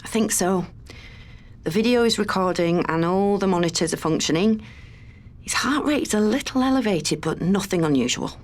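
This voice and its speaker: An actress playing a businesslike researcher in an audio drama, matter-of-fact and clinical. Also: female voice, mid-range voice, moderate pace, lightly acted. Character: businesslike female researcher running an experiment.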